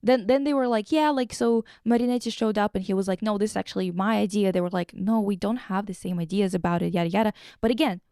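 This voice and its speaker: Female podcast host, soft-edged mellow tone, close dry sound. Casual, irreverent, swears freely. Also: mid-range voice, fast talker, few pauses, dry recording. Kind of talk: casual chat, recapping and reading quotes aloud.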